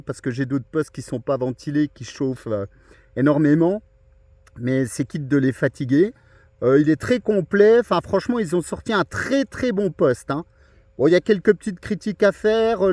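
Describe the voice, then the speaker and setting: A man's voice: on the high side, darkish tone, no echo. A man who works as an amateur radio operator, chatting casually and often checking that his listener follows. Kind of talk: casual radio contact chat.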